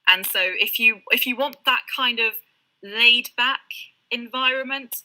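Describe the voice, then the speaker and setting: Bright-voiced British woman tutor. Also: fairly high pitch, measured pace, rough recording. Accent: British English. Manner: friendly explaining with frequent ums and light laughs. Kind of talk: live online Q&A. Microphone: video call.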